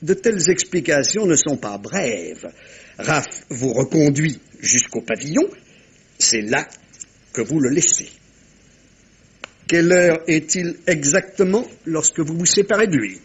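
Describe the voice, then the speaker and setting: An actor playing a shrewd, self-assured middle-aged detective in a French radio mystery, commanding and theatrical, speaking with deliberate dramatic pauses. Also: male voice, on the high side, animated, breathy edge, exaggerated voice. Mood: grave, probing. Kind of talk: 1950s-style French radio mystery acting.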